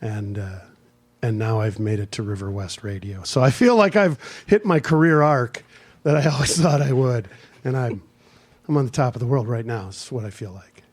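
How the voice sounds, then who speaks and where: A man in his sixties, a comedian introducing himself in a deadpan, halting way. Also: mid-range voice. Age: 60s. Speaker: stand-up comedian, guest actor. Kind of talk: deadpan comic self-introduction.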